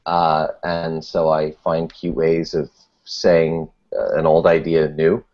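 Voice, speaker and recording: A man's voice: rumbling low, little pitch movement, takes it slowly, darkish tone. A man talking casually as a podcast guest in a wry, self-deprecating, rambling way with frequent false starts. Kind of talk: casual interview chat. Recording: dull, muffled lo-fi audio.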